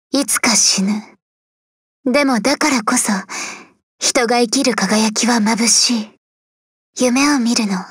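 A woman voicing a gentle, sorrowful young princess of death in an anime-style game, soft, breathy, tender and solemn. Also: on the high side, no echo, clean studio sound.

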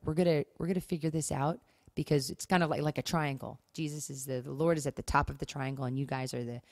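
A woman with a low voice gives conversational relationship advice as a podcast co-host. She is earnest and emphatic, circling back to key phrases. The sound is dry and close. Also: steady tone, fast talker, a little mellow, no room sound.

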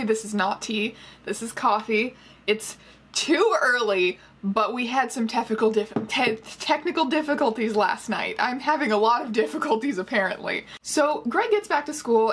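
High-voiced, slightly husky young woman, sarcastic. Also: moderate pace. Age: 20s. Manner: sarcastic, animated storytelling with mocking quotes. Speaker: vlogger reviewing a book. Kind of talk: casual scripted-loose commentary to camera. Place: small bedroom, indoors.

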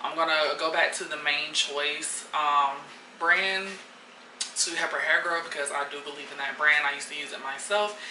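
A young woman with a low, grounded voice talks to her viewers in a relaxed, chatty way. She is picked up by a camera mic a few feet away, so her voice bounces around a small, echoey kitchen. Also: normal speed. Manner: chatty, relaxed and conversational, with frequent fillers. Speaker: lifestyle vlogger. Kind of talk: casual vlog chat to camera. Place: small apartment kitchen indoors. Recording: echoey kitchen, distant camera mic, audible background noise.

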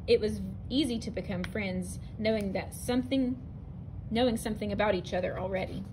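A woman teacher reading a narrative poem aloud from a picture book, clear and expressive, with a few small restarts. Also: mid-range voice, a little fast, noisy background. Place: indoors, at a table over an open book.

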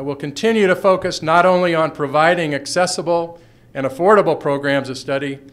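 An older man of about sixty, a college official, delivering a prepared formal speech at a podium in a measured manner. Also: fairly high pitch. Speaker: college official, panel speaker. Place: indoor college meeting room. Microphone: podium gooseneck microphone.

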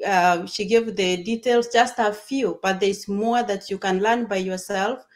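A woman from Kenya hosting a video call interview, explaining things in an upbeat, quick way. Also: mid-range voice, fairly even intonation. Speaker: host. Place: home room. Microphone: webcam on video call.

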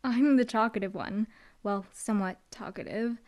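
A woman's voice: mid-range voice, normal speed, a little mellow, close to an everyday voice. A young woman voicing a dry, teasing female hellhound demon bodyguard in an audio roleplay. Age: young adult. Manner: dry, teasing, laid-back.

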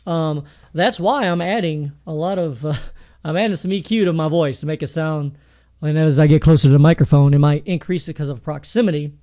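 Male producer, thin high voice, loose chatty tutorial delivery with lots of "uh". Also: brisk, mellow. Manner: casual, rambling, with many fillers and restarts. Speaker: music producer teaching mixing. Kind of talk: screen-recorded tutorial talk. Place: home project studio.

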